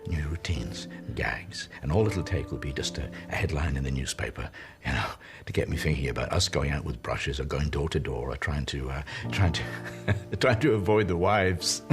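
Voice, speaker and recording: An actor playing an elderly comedian in a television drama, speaking tenderly and haltingly as he grieves beside his dying partner. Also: sits low. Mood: reflective. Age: older. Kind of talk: intimate television drama monologue.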